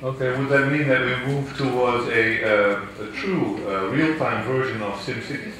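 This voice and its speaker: A man moderating a conference panel, brisk, prompting speakers with light jokes. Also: medium pitch, fairly bright tone, slight background noise. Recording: echoey hall, distant mic.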